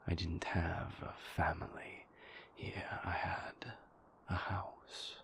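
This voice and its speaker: A man playing a brooding, remorseful vampire boyfriend in an intimate audio roleplay, with a breathy, gravelly near-whisper and halting, formal, confessional phrasing. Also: low-pitched, little pitch movement, fairly bright tone, exaggerated voice. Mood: weary, confessional. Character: brooding, remorseful male vampire boyfriend, former royal enforcer.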